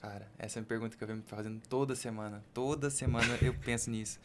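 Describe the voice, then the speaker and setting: A man's voice: medium pitch, steady tone, very fast, very noisy. A young Brazilian man chatting casually and candidly as a podcast co-host, in colloquial speech. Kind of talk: casual personal conversation.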